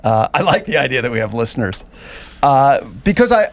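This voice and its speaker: A man's voice: mid-range voice, very animated, a little fast. A man co-hosting a podcast in casual chat, dry and mock-formal as he teases and doubts his partner. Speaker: podcast co-host. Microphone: podcast mic, audio only.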